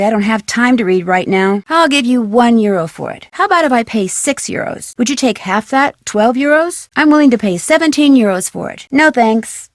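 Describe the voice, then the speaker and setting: An actress voices a young American woman sleuth in a video game. Her voice sits fairly high and is recorded very dry. She delivers short scripted lines clearly, with a polite, upbeat, inquisitive manner. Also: dry recording, natural voice. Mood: matter-of-fact, bargaining. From United States. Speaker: video game character voice actor.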